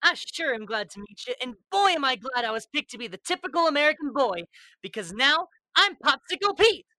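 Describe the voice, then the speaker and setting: A woman playing an eager, wholesome all-American boy, gushing excitedly in an old-time radio advertisement. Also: sits high, dry recording, heavily acted, low-quality recording.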